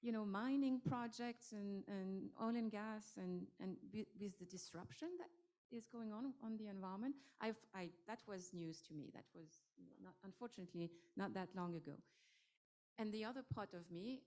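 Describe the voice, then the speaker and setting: A woman's voice: mid-range voice, normal speed, darkish tone. A woman with a French accent speaking as an investor on a panel, hesitant with many fillers and restarts. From France. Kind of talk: panel discussion.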